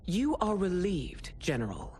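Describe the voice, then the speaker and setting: An actress playing a proud, stern empress in a video game cutscene, speaking in a regal, formal and accusing manner. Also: female voice, lowish voice, big pitch swings, a little slow, noisy background. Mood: cold contempt. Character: proud, imperious warrior empress, stern and indignant.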